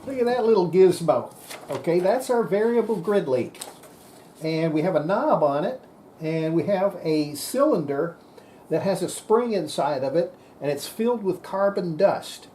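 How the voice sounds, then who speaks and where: A man's voice: sits high, animated, unhurried, dark tone, slight background noise. A man giving an informal hands-on technical explanation, plain-spoken and folksy, thinking aloud as he demonstrates equipment. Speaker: presenter demonstrating radio equipment.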